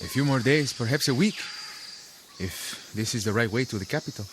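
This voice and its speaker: An actor playing a level-headed, skeptical rebel comrade, reasoning calmly in a radio drama. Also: male voice, medium pitch, noisy background, natural voice. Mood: cautious. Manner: measured, reasoning. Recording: clear insect and jungle ambience.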